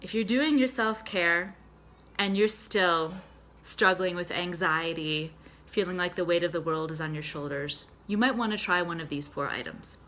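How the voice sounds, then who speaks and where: A woman's voice: fairly low pitch, normal speed, fairly bright tone, a little hiss or hum. A woman with a Boston accent, a psychotherapist and nutritionist explaining things step by step in a friendly, conversational talk. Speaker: psychotherapist and mental health nutritionist. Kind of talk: casual educational video talk.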